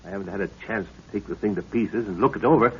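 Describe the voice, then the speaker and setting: An actor playing an authoritative middle-aged professor and adventurer in a 1930s radio drama, measured and commanding. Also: male voice, on the low side, natural voice. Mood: grave, explaining. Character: steady, authoritative male professor and adventurer, a father. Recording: muffled, hissy 1930s radio recording.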